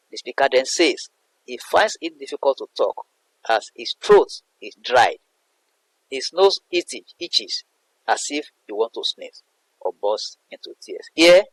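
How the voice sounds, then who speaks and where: A man's voice: on the high side, no room sound, rough recording. A man explaining a poem as a literature teacher, in a didactic way that repeats and rephrases points. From Nigeria. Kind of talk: explanatory poetry analysis lesson.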